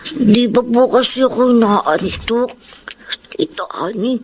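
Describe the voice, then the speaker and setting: A woman's voice: a little high, slow, darkish tone, slight background noise. A woman voicing a meek, polite little boy in a Filipino radio drama, plaintive and hesitant, with a Filipino accent. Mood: sad, pleading.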